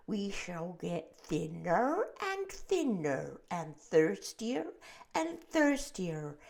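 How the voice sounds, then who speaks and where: A woman voicing a gloomy, complaining blind male earthworm who draws out his words slowly and sounds doomed. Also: a little high, creaky, darkish tone, voice pushed up, full character voice. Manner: slow, drawn-out and doom-laden.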